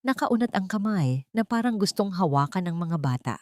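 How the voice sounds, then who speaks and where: A woman narrating a romantic audio drama in a dramatic, expressive storytelling manner. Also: lowish voice, moderate pace, no echo, clean studio sound.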